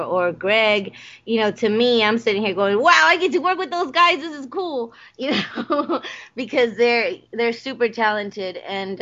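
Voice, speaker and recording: A woman's voice: a little high, a bit bright. A woman with a Texan accent, a voice actress chatting as a guest, laughing often with many fillers. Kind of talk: casual interview chat. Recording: dull lo-fi podcast audio.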